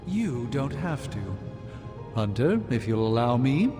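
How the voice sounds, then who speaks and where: An actor playing a learned, middle-aged sorcerer superhero in a video game, speaking in a formal, theatrical and grave way. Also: male voice, medium pitch, very animated, a little slow. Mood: grave, measured. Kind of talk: video game cutscene acting. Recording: long hall reverb under music.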